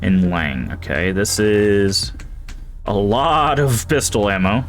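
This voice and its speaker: A man's voice: lowish voice, lively intonation, slow, faint music behind. A man streaming a horror game, thinking aloud and reading in-game items and notes as he plays. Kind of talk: casual gameplay commentary.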